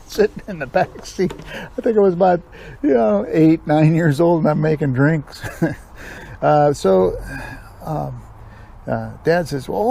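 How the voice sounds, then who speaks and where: A man's voice: on the high side, gravelly, warm tone. An older American man telling stories from his past in a casual, rambling, folksy way. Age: older, 60s or above. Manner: rambling, folksy, chuckling asides. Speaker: storytelling vlog host. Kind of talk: casual reminiscing storytelling.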